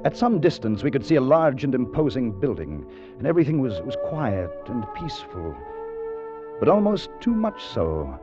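Actor as wonderstruck time traveller, vintage radio sound. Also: male voice, mid-range voice, very animated, normal speed, lightly acted. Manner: dramatic, hesitant in dialogue. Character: Fowler, cautious, wonderstruck male time traveller and narrator. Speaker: narrator and character in a radio drama. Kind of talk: old-time radio drama acting, first-person narration. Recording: dull vintage radio recording with music.

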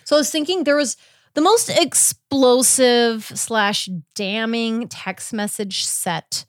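A woman's voice: fairly high pitch, slow, dry recording, clean studio sound. A woman co-hosting a podcast in casual, conversational chat. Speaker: podcast co-host.

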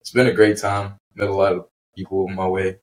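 A man's voice: deep voice, completely flat delivery, fairly quick, slight background noise. A man in his twenties from Memphis, Tennessee, chatting easily as a guest in short, plain phrases. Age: 20s. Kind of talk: casual chat.

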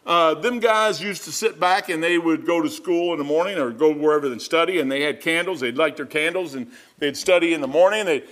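A man's voice: a little high, brisk, few pauses, a bit bright. A man, preaching a rambling, conversational sermon and often repeating himself. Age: 60s. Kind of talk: folksy sermon.

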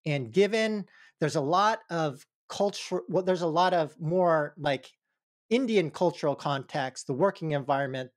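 A man hosting a podcast interview, laying out his ideas carefully with many hedging words. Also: fairly high pitch. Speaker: host.

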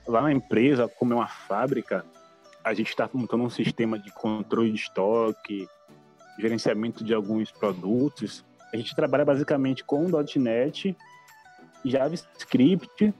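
A man's voice: medium pitch, a little mellow, breathy edge. A man, an intern chatting casually in an interview. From Salvador, Bahia, Brazil. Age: 20s. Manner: chatty, addresses listeners as "cara".

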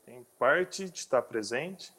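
A man hosting a casual interview, talking in a halting way with frequent restarts. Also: mid-range voice, animated, a bit bright. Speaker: interview host.

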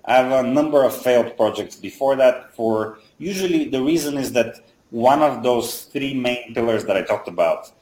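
A man's voice: mid-range voice, animated, moderate pace, a little mellow, a little hiss or hum. Thoughtful Bulgarian-born man in echoey room. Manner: thoughtful, explains step by step with frequent fillers. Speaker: guest, software company founder. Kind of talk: casual interview answers.

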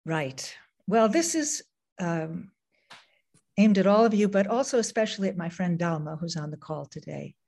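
A woman's voice: on the low side, expressive, moderate pace, gravelly, a little mellow. Greek-born woman author, reflective. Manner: reflective, with frequent ums. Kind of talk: reading aloud, then talking about her writing.

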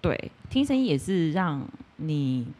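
A woman with a Taiwanese Mandarin accent and a warm, soothing voice, chatting casually as a podcast guest. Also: deep voice, very animated, measured pace. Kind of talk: casual chat.

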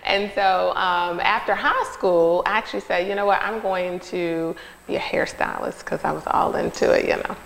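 A woman's voice: lowish voice, fairly even intonation, normal speed, vocal fry. A woman from North Carolina, a nurse and company founder, telling her story as a friendly interview guest with fillers. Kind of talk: casual interview talk. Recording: hall with long echo.

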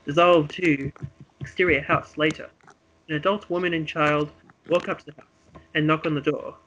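A man's voice: fairly high pitch, steady tone, normal speed, fairly bright tone, highs cut off, slight background noise. A man reading a script aloud as narrator of a homemade radio play, with dramatic storytelling delivery. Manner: dramatic present-tense storytelling.

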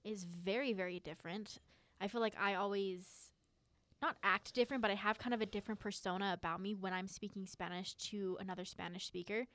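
A woman's voice: mid-range voice, steady tone, brisk, a bit bright, no room sound. Talkative young woman, dry close sound. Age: young adult. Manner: enthusiastic, talkative, earnest. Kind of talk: casual podcast chat.

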